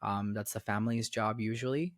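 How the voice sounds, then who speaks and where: A man's voice: fairly low pitch, brisk, no room sound. Rambling young man, dry close mic. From Florida, raised in Miami. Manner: rambling, repeats phrases, many fillers. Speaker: podcast guest. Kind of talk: casual conversation.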